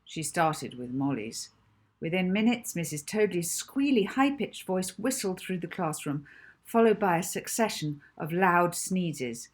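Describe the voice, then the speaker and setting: A woman's voice: lowish voice, animated, unhurried. A woman narrating a children's audiobook, reading scripted story prose expressively as its author.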